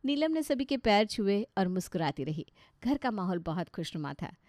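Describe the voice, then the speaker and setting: A woman with an Indian accent narrates a story. She reads scripted storybook prose at an average pitch. The recording is dry, with no room sound. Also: sing-song, normal speed, a little mellow, dry recording.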